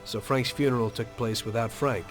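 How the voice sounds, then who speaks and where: Video game mobster played by a man: American, low-voiced, weary, streetwise, wry storyteller over background music. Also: steady tone, normal speed, natural voice. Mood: matter-of-fact. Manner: plain-spoken, wry. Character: weary streetwise American mobster, former taxi driver, conscientious. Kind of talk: dramatic video game dialogue and confessional narration.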